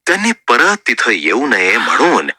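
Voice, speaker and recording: A man's voice: medium pitch, wildly swooping pitch, normal speed, sharp tone, a little hiss or hum. A man narrating a scripted Bible story as a storyteller.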